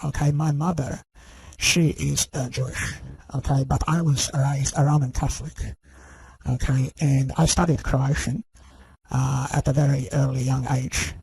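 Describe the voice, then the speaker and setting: A man's voice: medium pitch, fairly even intonation, unhurried, creaky, darkish tone, breathy edge, no echo, low-quality recording. A man delivering a rambling, scornful rant to his viewers, full of "you know" and "okay?". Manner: scornful and insistent. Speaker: channel host. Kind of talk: ranting monologue to camera.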